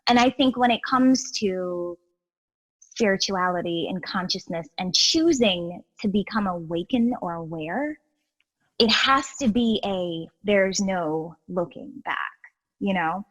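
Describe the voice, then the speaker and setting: A woman's voice: medium pitch, slow pace, low-quality recording. A woman from Guyana, a guest chatting earnestly in an interview, full of restarts and asides. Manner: animated and earnest, many "you know"s and restarts. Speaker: guest, healer and educator. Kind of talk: casual interview chat.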